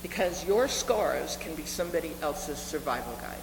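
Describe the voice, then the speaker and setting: A woman in her seventies delivering a sermon as a reverend, speaking earnestly and deliberately with repeated phrases for emphasis. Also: sits low, lively intonation, creaky. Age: 70s. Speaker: reverend preaching to a congregation. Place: reverberant meeting hall with a congregation. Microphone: live speaking microphone. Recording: echoey hall, noisy room with hum.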